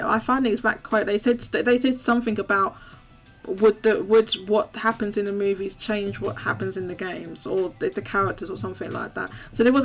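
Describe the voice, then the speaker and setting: A woman's voice: medium pitch, a bit bright. Chatty woman hosting a live stream. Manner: chatty, restarts often, upbeat. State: losing her voice. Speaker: host. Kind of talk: live call-in stream chat.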